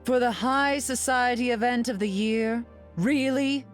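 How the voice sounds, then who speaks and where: A woman's voice: on the high side, unhurried, soft background music, natural voice. An actress playing a haughty, sardonic, glamorous telepathic queen in a video game, drawling with dry mockery. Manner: haughty, drawling, dryly mocking. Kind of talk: video game character voice lines.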